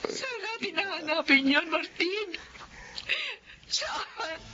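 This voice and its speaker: A woman's voice: extremely high pitch, expressive, takes it slowly, bright, forceful tone, dull audio, a little hiss or hum, full character voice. A woman playing an anxious, devoted wife and mother in an emotional Filipino radio drama. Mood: worried, pleading. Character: Feli, anxious devoted wife and mother telling her life story.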